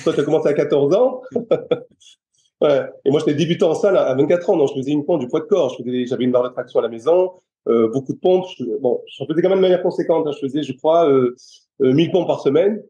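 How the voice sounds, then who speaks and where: Middle-aged French male trainer, warm mellow tone, halting, thinking-aloud delivery. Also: a little high, highs cut off. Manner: hesitant, many restarts and fillers. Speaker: guest, personal trainer. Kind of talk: casual interview chat.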